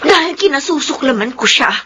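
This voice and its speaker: Radio drama daughter: very high, bright, wounded, indignant, over audible noise. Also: female voice, animated, brisk. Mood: anguished resentment. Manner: tearful, emphatic, indignant delivery. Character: bitter, wounded daughter Nelly who resents her mother. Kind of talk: melodramatic Filipino radio drama acting.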